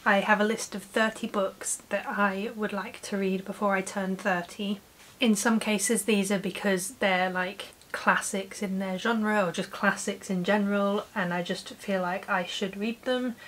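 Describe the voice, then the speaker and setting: A woman's voice: medium pitch, a bit bright, rough recording. A woman in her late twenties with a British accent, chatting casually and informally to camera as a book vlogger in a room at home. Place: small home room with bookshelves. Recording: clear background hiss.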